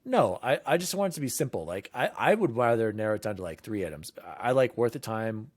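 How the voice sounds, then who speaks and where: A man with a fairly low voice runs a casual gaming podcast. He talks loosely and upbeat and laughs easily. The sound is dry and close, with no room around it. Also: very animated, fast talker, husky, no echo. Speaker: podcast host.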